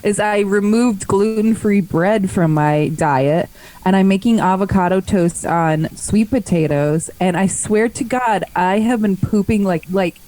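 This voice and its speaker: A woman's voice: medium pitch, a little slow, mellow, poor audio. A woman co-hosting a podcast, chatting in a bubbly, emphatic way. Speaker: podcast co-host. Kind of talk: casual chat.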